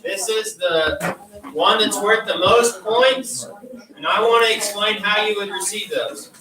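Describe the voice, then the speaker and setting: A man's voice: high-pitched, a little slow, crowd noise. A man teaching a class, explaining quiz instructions step by step and checking in with "okay?". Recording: roomy, distant mic, noisy classroom.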